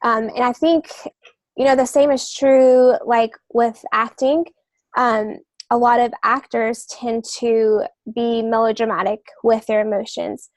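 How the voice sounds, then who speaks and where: A woman's voice: a little high, steady tone, measured pace, dry recording. A woman co-hosting a writing podcast, explaining advice conversationally and often rephrasing as she goes. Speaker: podcast co-host and writer.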